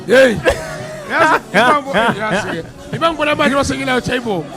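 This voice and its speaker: A South African man with a gravelly voice, an actor chatting as an interview guest, laughing and repeating words as he thinks. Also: extremely high pitch, lively intonation, fairly quick, over music. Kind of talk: casual interview chat.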